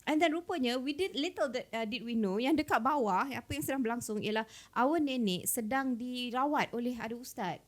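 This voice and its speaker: A young woman with a Malaysian accent chatting casually and telling stories as a podcast co-host, in an animated, informal code-switching way. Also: fairly high pitch, brisk, few pauses. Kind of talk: casual chat, storytelling.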